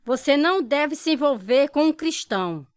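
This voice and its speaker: A woman's voice: high-pitched, animated, normal speed, no room sound, studio quality. An actress playing a domineering, disapproving woman who scolds her family in a radio drama. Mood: indignant. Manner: scolding, dismissive. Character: scornful, domineering female relative, disapproving of faith.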